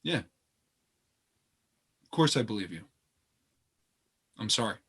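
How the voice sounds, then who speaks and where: A man's voice: on the low side, big pitch swings, takes it slowly, fairly bright tone, husky, full character voice. An actor playing an uneasy, guilt-ridden man in a stage play, hesitant, apologetic and defensive. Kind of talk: naturalistic stage play acting.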